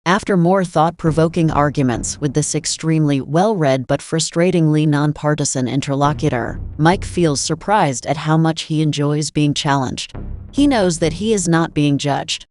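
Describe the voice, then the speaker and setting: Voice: mid-range voice, normal speed, darkish tone, slight background noise. A narrator reading scripted story narration for a dramatized synopsis.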